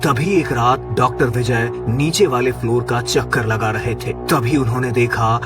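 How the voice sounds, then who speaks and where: A man's voice: mid-range voice, normal speed. A man reading a scripted horror story as narrator, with music behind him. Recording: music under the voice. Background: background music.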